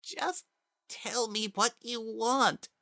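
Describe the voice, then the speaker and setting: Bitter child spirit for a dramatic audiobook: high, strained, taunting, close to breaking. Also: steady tone, extremely slow, gravelly, pushed and bright, no room sound, heavily acted. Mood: wounded, defiant. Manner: taunting and wounded. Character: bitter, mocking, anguished lost child spirit.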